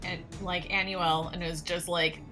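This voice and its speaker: A woman's voice: fairly low pitch, flat delivery, unhurried, bright, forceful tone, soft background music, rough recording. A woman playing a tabletop game, casual and laughing. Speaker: player.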